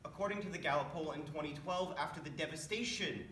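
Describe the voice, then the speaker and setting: A man's voice: fairly high pitch, quick pace, bright, forceful tone. A young man giving a dramatic scripted recitation as a readers theatre performer. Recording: echoey room, distant mic, faint noise.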